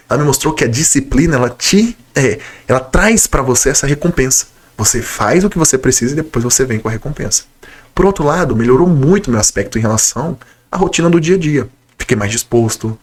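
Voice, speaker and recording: Fast-talking man, a bit nasal from a cold. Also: mid-range voice. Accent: Brazilian Portuguese. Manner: conversational, enthusiastic, direct address to viewers. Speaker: nutritionist vlogger. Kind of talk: casual talking-to-camera vlog.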